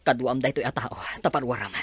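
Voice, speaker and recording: A man's voice: mid-range voice, quick pace, fairly bright tone, a little hiss or hum, close to an everyday voice. An actor playing a troubled man in a hospital who speaks tensely and with exasperation in a radio drama.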